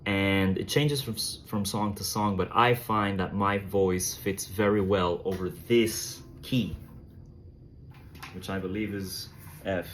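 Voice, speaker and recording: A man with a low voice giving a casual, thoughtful demonstration on a phone vlog, talking slowly with soft pauses. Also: fairly even intonation, a little hiss or hum. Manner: slow, thoughtful, reflective talk with soft fillers. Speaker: musician reviewing handpan drums. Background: tonal drum playing.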